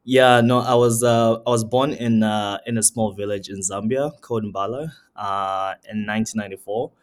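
A young man from Zimbabwe, a startup founder answering interview questions in a casual, halting way with many ums and uhs. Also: lowish voice, steady tone. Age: young adult. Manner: hesitant, many ums and uhs, repeats words.

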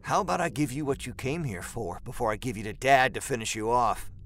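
A male narrator voicing a cocky, cruel grown son in a horror audio drama, with a taunting, forceful delivery. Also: mid-range voice, brisk, lightly acted. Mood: threatening.

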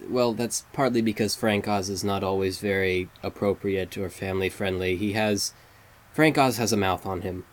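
A man's voice: fairly low pitch, moderate pace. A man hosting a fan site interview, polite and earnest, hesitating with fillers. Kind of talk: casual interview.

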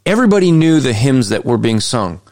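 A man's voice: medium pitch, big pitch swings, brisk, a little mellow, no room sound, clean studio sound. A man hosting an interview podcast, talking in an earnest, explanatory way. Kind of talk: conversational interview.

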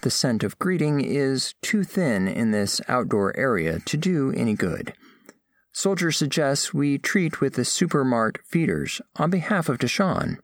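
A scholarly alien envoy, played by a man, speaks slowly and with great formality. The pitch rises and falls widely as each precise phrase is set out, in a close, dry recording. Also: big pitch swings, dry recording. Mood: measured, curious. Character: formal, scholarly alien diplomat narrating a first contact. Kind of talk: scripted audio fiction narration.

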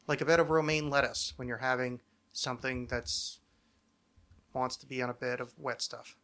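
A man's voice: medium pitch, level tone, normal speed, pushed and bright. A man hosting a podcast with casual comedic banter, rambling and sardonic, swearing freely. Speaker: podcast host.

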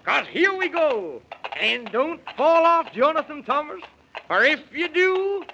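An actor playing a jolly, fussy fantasy guide with folksy exclamations and singsong rhymes in a 1930s children's radio serial. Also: male voice, very high-pitched, very animated, measured pace, vocal fry, exaggerated voice. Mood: bustling, resourceful. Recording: muffled, noisy 1930s radio recording.

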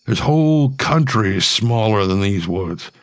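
A man voicing a friendly, rough-spoken killer who chats in a slangy, laid-back way. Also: on the low side, lively intonation, unhurried, no echo, clean studio sound. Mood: easygoing. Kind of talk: storytelling.

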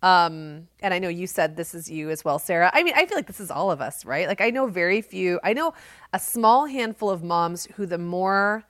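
A woman in her forties co-hosting a podcast, telling stories in a loud, animated, projecting voice. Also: lowish voice, moderate pace, no room sound, studio quality. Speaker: podcast co-host. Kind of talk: casual chat.

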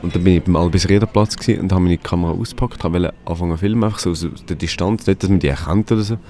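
A man's voice: sits low, rapid-fire, mellow. A man, chatting casually in a rambling way with many restarts. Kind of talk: casual conversation.

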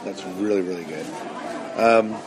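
A man's voice: sits low, measured pace, noisy background. A man doing a casual, friendly interview in a cafeteria, often repeating his phrases. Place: cafeteria at a convention. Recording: busy cafeteria crowd behind voice.

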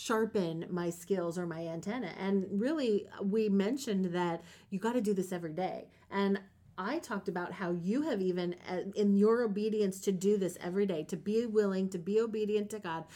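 A woman speaks as a podcast host in an easy conversational style. Her voice sits at a middle pitch, and she sounds earnest and encouraging as she talks with a guest about faith. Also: moderate pace, dark tone.

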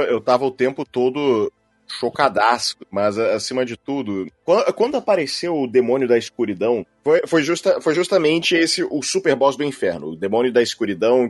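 A Brazilian man giving casual, opinionated analysis with halting starts and emphatic explanations. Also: medium pitch, no echo. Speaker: podcast co-host giving analysis. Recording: close dry sound, faint music. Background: music.

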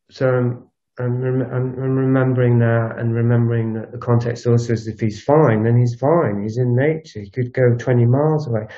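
A man recording a solo spoken audio log on a walk, musing in a halting, unscripted way and circling back over his phrases. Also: medium pitch, mellow. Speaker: podcast host. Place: outdoors, recorded while walking. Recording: dull lo-fi outdoor recording.

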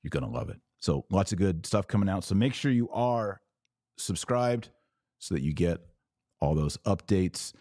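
A man's voice: deep voice, very animated, normal speed, dark tone, dry recording, clean studio sound. An American man hosting a religious podcast, talking informally to online viewers in an emphatic way with repeated phrases and rhetorical questions.

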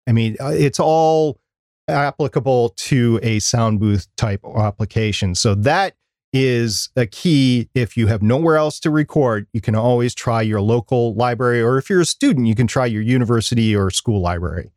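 A man's voice: medium pitch, moderate pace, a little mellow, dry recording, clean studio sound. A man co-hosting a podcast, presenting prepared segments and interview questions. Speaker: podcast co-host.

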